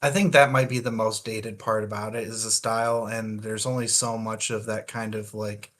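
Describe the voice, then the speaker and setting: A man's voice: lowish voice, level tone, moderate pace, fairly bright tone. Man thinking aloud in casual book chat. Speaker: podcast co-host.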